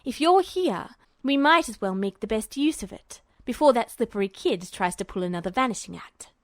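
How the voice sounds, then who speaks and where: A woman's voice: a little high, animated, normal speed, dry recording, clean studio sound. A woman voicing a smug, bullying male military guard who gives curt commands, in a story reading. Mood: smug, commanding. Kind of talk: audiobook-style character voice.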